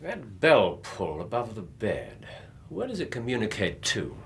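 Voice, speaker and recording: An actor playing a brisk, keen-minded Victorian gentleman detective in a radio drama, with an English accent and clipped, precise, formal speech. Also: on the low side, huge pitch swings, creaky, slightly rough, full character voice. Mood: probing, musing.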